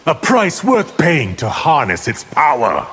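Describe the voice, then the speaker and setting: An actor playing an arrogant, scheming necromancer villain in a video game, theatrical and menacing. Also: male voice, on the high side, wildly swooping pitch, a little slow, a bit bright, soft background music, exaggerated voice. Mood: sly, amused.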